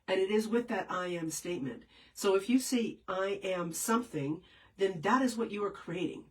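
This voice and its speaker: A woman's voice: medium pitch, lively intonation, normal speed, far from the mic, low-quality recording. A woman with a head cold, answering viewer questions as a spiritual teacher in a casual, meandering live session. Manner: meandering, many fillers and restarts.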